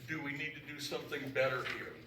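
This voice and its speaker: A man reporting as a church trustee at a council meeting, loud and joking, talking freely off his notes into a handheld mic. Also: fairly high pitch, flat delivery, fast. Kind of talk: informal talk at a meeting. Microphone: handheld microphone. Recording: echoey room, mic far off, background noise.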